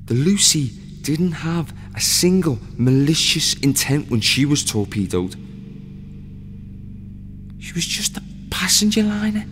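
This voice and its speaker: A man in an audio play, playing a dead ship's crewman who is a husband and father, brooding and bitter in a slow monologue. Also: mid-range voice. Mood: aggrieved. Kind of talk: scripted dramatic monologue. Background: music.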